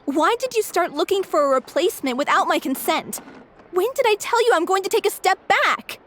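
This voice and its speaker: An actress playing a firm, determined adult stage actress. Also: female voice, extremely high pitch, expressive, a little fast, fairly bright tone. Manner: firm, insistent.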